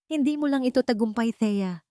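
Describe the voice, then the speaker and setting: A woman's voice: fairly high pitch, a little fast, mellow, dry recording, voice pushed up, close to an everyday voice. Woman as a supportive sister-in-law, heartfelt, encouraging, audio romance, dry sound. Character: warm, supportive sister-in-law proud of a graduate.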